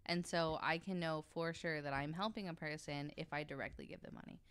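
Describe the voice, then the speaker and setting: A woman's voice: deep voice, fairly even intonation, brisk, a bit bright, clear, resonant tone, no room sound. A woman talking casually and informally as a podcast panelist, swearing freely and feeling her way through her phrasing. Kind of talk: casual unscripted discussion.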